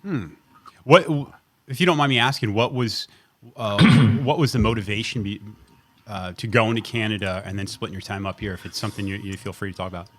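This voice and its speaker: A man's voice: fairly low pitch, fairly bright tone, dry recording. Chatty man hosting a podcast, dry sound. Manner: chatty, halting, repeats words. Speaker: podcast host. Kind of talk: casual podcast intro and interview. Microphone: video call.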